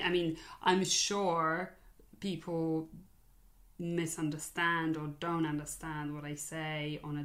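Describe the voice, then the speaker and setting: Polish woman with a British-tinged accent. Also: medium pitch. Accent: Polish, with British-sounding elements. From Poland. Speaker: podcast co-host. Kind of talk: casual chat.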